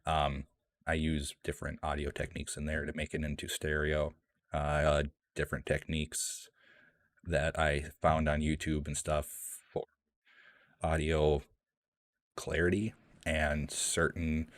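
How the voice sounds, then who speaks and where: Very deep-voiced man chatting on stream. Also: monotone, measured pace, long pauses, no echo. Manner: rambling, many ums and restarts. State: shaky voice from the cold. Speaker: streamer hosting a live Q&A. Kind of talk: casual livestream chat. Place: cold room at home. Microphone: home streaming mic.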